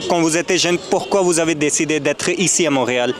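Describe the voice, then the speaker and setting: A man's voice: a little high, fast talker. Upbeat Indian-born man interviewing outdoors. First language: Hindi. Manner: upbeat, brief affirmations. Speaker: interviewer and language channel host. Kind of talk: street interview. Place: outdoors at a city festival.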